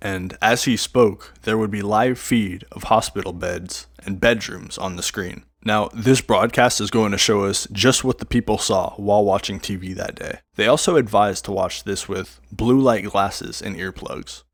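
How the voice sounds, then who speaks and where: A man's voice: fairly low pitch, moderate pace, hardly any pauses, no room sound, studio quality. A man giving casual, explanatory horror commentary as a voice-over. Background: music.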